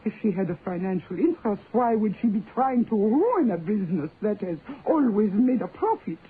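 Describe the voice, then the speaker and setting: A woman's voice: mid-range voice, big pitch swings, normal speed, slightly rough. A woman playing an anxious, deferential French sister with a French accent, hesitant and earnest, in a radio drama. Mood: troubled. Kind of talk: old-time radio drama acting. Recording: tinny band-limited old radio, some noise.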